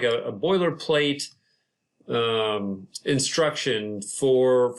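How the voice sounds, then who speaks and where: Male tutorial narrator, mid-pitched, unscripted and explanatory, talking through software steps informally with a halting delivery. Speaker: property manager presenting a software tutorial.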